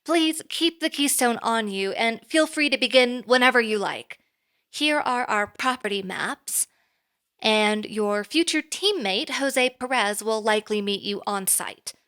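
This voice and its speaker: A woman playing a poised, reserved boss in an audio drama, speaking formally and in a measured way. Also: on the high side, animated, a bit bright, clean tone. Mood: cool, businesslike.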